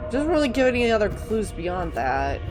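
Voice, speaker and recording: A woman's voice: mid-range voice, very animated, moderate pace, music in the background. A woman hosting a let's play with casual, informal, sweary gaming commentary.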